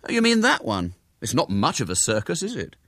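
A man's voice: medium pitch, huge pitch swings, moderate pace, a bit bright, no room sound, clean studio sound, voice pushed up, heavily acted. A man voicing a stubborn, earnest schoolboy in a storybook, boastful and given to bluffing. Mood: careless bluffing. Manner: careless bluffing, mysterious, indignant.

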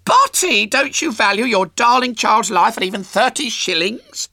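Man acting a gushing, melodramatic, high-voiced mother. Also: expressive, vocal fry, bright, forceful tone, no echo, studio quality, full character voice. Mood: effusive, overwrought. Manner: effusive, theatrical, drawn-out.

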